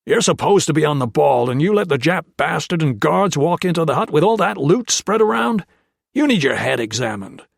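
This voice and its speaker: A man's voice: fairly high pitch, animated, fairly quick, creaky, no echo, clean studio sound, pitched above the performer's own voice. A male narrator voicing a blunt, shrewd officer who barks orders. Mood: gruff, commanding.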